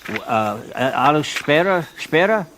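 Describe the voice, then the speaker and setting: A male actor plays a bumbling comic detective from a radio play. His pitch jumps around a great deal as he stumbles over foreign words and repeats them back. He is persistent and endlessly muddled. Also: lively intonation, normal speed, noisy background, close to an everyday voice. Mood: puzzled, fumbling. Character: bumbling, persistent American detective struggling with Portuguese. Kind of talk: comic radio drama acting.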